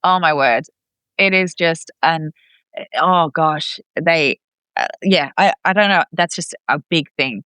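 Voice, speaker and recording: Female podcast guest, chatty and expressive, bright forward voice, dry close recording. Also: low voice, takes it slowly, clear, resonant tone, dry recording. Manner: chatty, expressive, acts out reported dialogue. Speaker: podcast guest, expat parent. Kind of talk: casual conversational interview.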